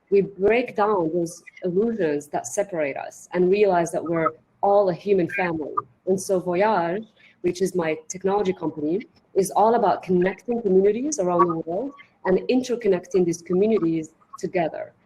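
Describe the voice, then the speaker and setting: A woman's voice: lowish voice, moderate pace, mellow, a little hiss or hum, rough recording. A woman from Morocco speaking as a panelist and tech founder, telling her story in an earnest, storytelling way. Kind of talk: conference panel talk.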